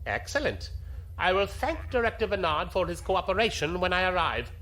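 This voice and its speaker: A pompous imperial commodore played by a man for a science fiction audio drama. His voice is high and bright, and he speaks with haughty self-assurance. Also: very animated, full character voice. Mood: haughty, assured. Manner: pompous, drawn-out tag questions.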